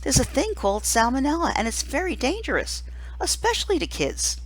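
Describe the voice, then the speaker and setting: A woman's voice: sits high, big pitch swings, a little fast, pitched above the performer's own voice, low-quality recording. A woman reading a storybook and voicing a blunt, precocious kindergarten girl who talks in an earnest, pedantic way. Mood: earnest warning. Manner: earnest, matter-of-fact, pedantic.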